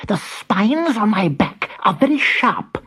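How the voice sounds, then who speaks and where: A performer voicing a small prickly porcupine in a children's storybook, slow and whiny. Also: medium pitch, big pitch swings, pushed and bright, breathy edge, voice pushed up, heavily acted.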